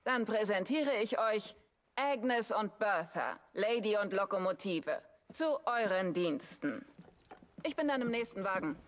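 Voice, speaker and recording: A woman's voice: mid-range voice, animated, measured pace, lightly acted. Brash, cheeky working woman acted by a woman. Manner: blunt, theatrical, saucy. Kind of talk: German video game dub acting.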